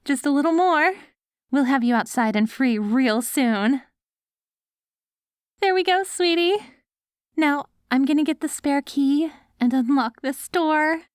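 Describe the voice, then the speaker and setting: A woman's voice: sits high, clean tone, dry recording, studio quality. A woman playing a devoted, hopeful wife tenderly coaxing her zombie husband in an ASMR audio roleplay. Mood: hopeful, excited. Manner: tender, coaxing, speaks directly to a loved one.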